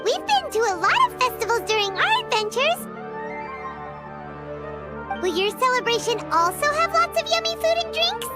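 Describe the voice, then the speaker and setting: A woman voicing a tiny, childlike, excitable floating companion in a video game, squeaky, perky and a little bossy. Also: normal speed, pauses often. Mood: eager. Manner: speaks of self in third person, perky. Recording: game dialogue over clear background music. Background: music.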